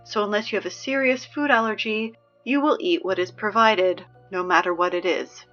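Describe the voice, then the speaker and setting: A young woman playing a wry, peppy teenage girl who reads high school announcements in a chatty, sardonic, emphatic way for a scripted audio drama. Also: normal speed, fairly bright tone, lo-fi sound, faint music behind, close to an everyday voice. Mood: wry, upbeat.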